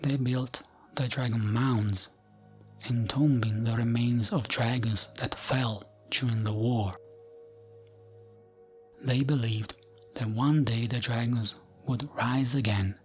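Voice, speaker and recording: A man reading fantasy lore as a gentle, soothing, hushed ASMR narration. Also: medium pitch, fairly even intonation, slow pace. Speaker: narrator of gaming lore videos. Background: music.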